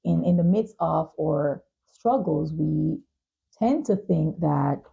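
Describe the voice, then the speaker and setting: A woman hosting a podcast interview, speaking in an earnest, measured, reflective way with frequent pauses. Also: deep voice, lively intonation, gravelly, breathy edge, dull audio. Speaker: talk show host and advocate.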